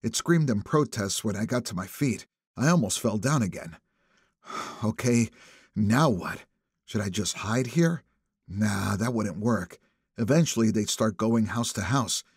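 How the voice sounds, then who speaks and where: A male audiobook narrator with a husky voice and very lively pitch. He reads first-person thriller prose dramatically, building suspense, in a dry close sound. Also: a little slow, dry recording. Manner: expressive, suspenseful phrasing.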